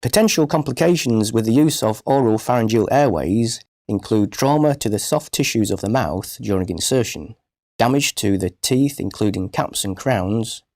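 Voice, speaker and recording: A man reading scripted instructional narration for a medical training film in a measured, precise, formal manner. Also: fairly low pitch, creaky, darkish tone, dry recording, studio quality.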